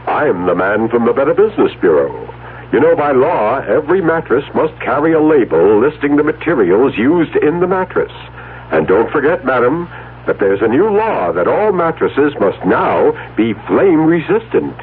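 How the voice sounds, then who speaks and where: Consumer adviser for radio spot , male actor: assured, courteous, mid-pitched, expressive inflection. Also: normal speed, phone-quality sound, a little hiss or hum, lightly acted. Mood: helpful. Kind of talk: scripted radio advertisement. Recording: band-limited old radio broadcast.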